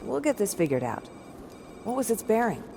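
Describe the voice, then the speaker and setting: An actress playing a woman colonist on a frontier world who calmly reassures the injured and asks what happened. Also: mid-range voice, moderate pace, gravelly. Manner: calm and reassuring. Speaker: colonist tending the wounded. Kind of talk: video game voice acting. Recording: game mix with noisy ambience.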